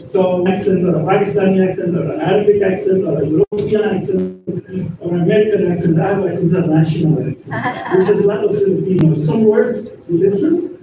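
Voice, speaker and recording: A man's voice: high voice, lively intonation, a little fast. An older man giving a chatty, joking talk to a university audience as guest speaker. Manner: chatty, jokes and laughs with the audience. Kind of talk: informal lecture to an audience. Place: university lecture hall. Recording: echoey room, distant mic, dull lo-fi sound with noise.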